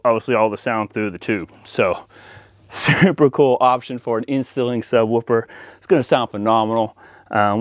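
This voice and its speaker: A man's voice: medium pitch, dry recording, studio quality. An American man hosting a hands-on installation demo in an informal, folksy, chatty way. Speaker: audio-video installer and channel host. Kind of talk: casual hands-on install demonstration.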